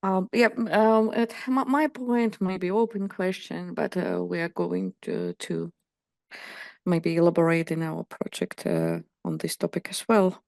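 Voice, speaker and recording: A woman from Finland asking a question in an online workshop, pausing often with fillers. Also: lowish voice, animated, creaky, a little mellow, dry recording. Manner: hesitant, many fillers. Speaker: workshop participant.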